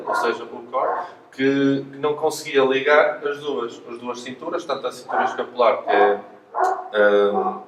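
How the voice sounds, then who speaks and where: A man with a European Portuguese accent giving careful, hesitant explanations as a physiotherapist in an interview. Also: medium pitch. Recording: echoey room, distant mic, faint noise.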